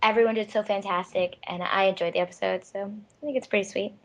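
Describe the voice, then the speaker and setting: A woman's voice: mid-range voice, steady tone, fast. A young woman chatting casually in a gushing way, peppering her talk with "like". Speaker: amateur voice actor. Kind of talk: casual chat.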